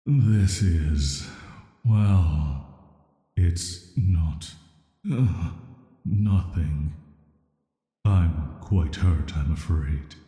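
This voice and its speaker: A man playing an ancient banished villain in an audio roleplay, speaking softly in a flat, measured, hesitant way. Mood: wounded, gentle. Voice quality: very deep, soft, atmospheric. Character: ancient banished male villain, immortal cursed being, calm and flirty. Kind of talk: slow scripted roleplay audio drama monologue. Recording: echoey, long cavernous reverb.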